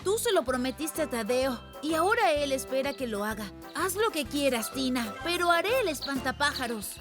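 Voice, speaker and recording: A woman plays an eager cartoon boy with a high voice, earnest and set on helping. Music sits loud behind the dubbed lines. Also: very animated, normal speed. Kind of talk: cartoon dub acting.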